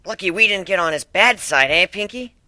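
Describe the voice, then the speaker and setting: A cocky young pegasus mare, acted by a man, bragging in a raised, punchy voice. The delivery is lively and sharp, made for a cartoon story. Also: high voice, fairly quick, dry recording, voice pushed up, exaggerated voice. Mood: nervous. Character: brash, boastful young female pegasus pony.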